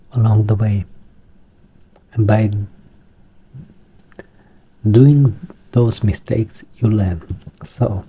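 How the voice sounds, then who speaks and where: A man with a Romanian accent, speaking English as a second language, talking through a computer setup in a halting way with many restarts. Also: fairly low pitch, mellow, slightly rough, highs cut off. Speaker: tech vlogger. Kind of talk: screen-recorded tutorial.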